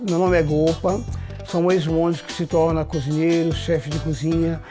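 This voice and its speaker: Calm Maranhão male chef, measured delivery over a music bed. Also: fairly high pitch. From São Luís, Maranhão, Brazil.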